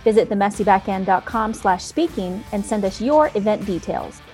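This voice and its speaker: A woman's voice: medium pitch, animated, normal speed, darkish tone, faint music behind. A woman hosting a podcast, gushing and enthusiastic, chatting and reading sponsor spots. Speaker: podcast host. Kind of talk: casual podcast chat and sponsor reads.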